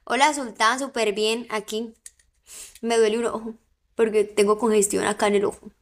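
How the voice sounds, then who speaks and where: A woman's voice: a little high, flat delivery, normal speed. A young Colombian woman with a mixed coastal and Santander accent, chatting playfully with viewers on a phone livestream. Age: young adult.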